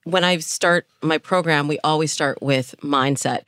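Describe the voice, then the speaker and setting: Woman dating coach, notably low register, explanatory interview delivery with illustrative self-talk, very dry recording. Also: measured pace, fairly bright tone, smooth, no room sound. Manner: explanatory, illustrates with imagined inner dialogue. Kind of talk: podcast interview, explaining advice.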